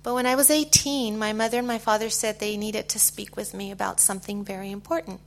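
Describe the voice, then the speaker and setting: A middle-aged woman with an American accent giving a personal testimony in short phrases, pausing for an interpreter. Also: mid-range voice, fairly even intonation, creaky. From United States. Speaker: guest speaker giving testimony.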